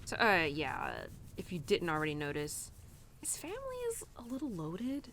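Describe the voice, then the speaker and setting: Lively, conflicted young cowgirl, acted by a woman. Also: fairly low pitch, moderate pace, slight background noise. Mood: fond, gossipy. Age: young adult. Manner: chatty, hesitant, informal, peppered with "like" and "you know". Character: young cowgirl venting to her horse, conflicted and stubbornly independent. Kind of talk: audio roleplay monologue, intimate and conversational.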